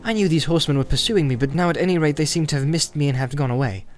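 A man's voice: a little high, rapid-fire, soft background music, pitched above the performer's own voice, natural voice. A man voicing a small, wary, anxious male hobbit who is polite but testy and questioning, in an audiobook. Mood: anxious, stubborn.

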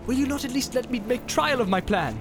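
A man's voice: fairly high pitch, huge pitch swings, normal speed, music in the background, pitched above the performer's own voice. A man voicing a tall, proud warrior with a covetous temper, speaking in archaic, impassioned declamation in a fantasy story. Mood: insistent pleading.

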